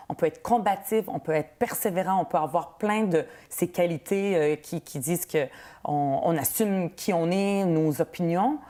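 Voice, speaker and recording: Woman, Quebec French accent, Arabic native speaker, earnest, laughs easily, lowish voice. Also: dark tone. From Palestine. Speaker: politician, guest. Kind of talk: interview answers.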